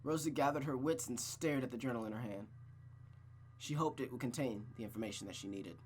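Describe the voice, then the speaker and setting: A man's voice: mid-range voice, fairly quick, slight background noise. A man streaming a visual novel, moving between narrated reading and casual, slangy, emphatic talk. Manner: slangy, exclamatory, emphatic repetition. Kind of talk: narrated reading and casual, profane recap chat.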